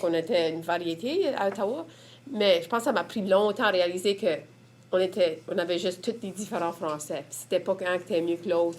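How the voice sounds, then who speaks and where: A woman's voice: fairly low pitch, a little hiss or hum. Candid Acadian woman telling her story. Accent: Acadian French. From Évangeline region, Prince Edward Island. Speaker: mother sharing her testimony. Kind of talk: candid personal testimonial.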